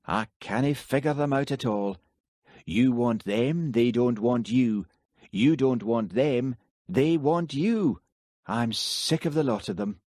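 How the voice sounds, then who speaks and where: A man reading an audiobook, voicing a Highland village policeman who talks plainly and questions firmly. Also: slow, darkish tone, no room sound, studio quality. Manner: plain-spoken, firm questioning. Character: Highland village policeman, easygoing but stern. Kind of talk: audiobook character voice.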